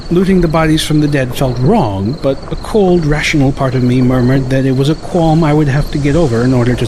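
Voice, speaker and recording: Man narrating an audiobook over noise. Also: medium pitch. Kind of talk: scripted first-person story narration.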